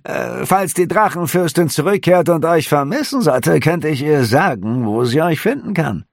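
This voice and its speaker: A man's voice: on the high side, animated, moderate pace, creaky, no room sound, studio quality, voice pushed up. A man voicing a nervous, prying middle-aged innkeeper who talks in a hasty, giggly, placating way.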